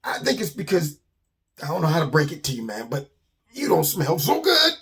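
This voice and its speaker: A man's voice: a little high, wildly swooping pitch, normal speed, a bit bright, exaggerated voice. A man voicing a scrawny, timid but plucky young bird in a children's story, hesitant and defensive in a casual way. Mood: awkward candour. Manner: hesitant, casual, exasperated protesting.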